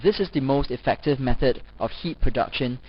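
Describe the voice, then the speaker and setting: A man reading scripted educational narration as a lecturer, in a formal, measured manner. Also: mid-range voice, background noise. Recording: dull, lo-fi voice-over recording.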